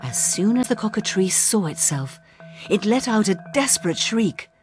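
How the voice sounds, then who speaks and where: A woman narrating a children's read-along story in an expressive way. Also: mid-range voice, normal speed, soft background music.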